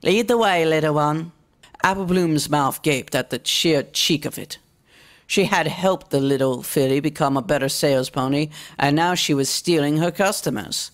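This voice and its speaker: A woman's voice: deep voice, normal speed. A woman reading fiction aloud as a scripted story narration. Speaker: story reader.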